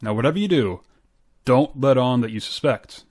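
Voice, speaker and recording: Man playing a hearty, animated know-it-all pal. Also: medium pitch, moderate pace, natural voice. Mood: wry, knowing. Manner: hearty, knowing, wry. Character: jovial, know-it-all older male friend and mentor. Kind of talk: old-time radio sitcom acting.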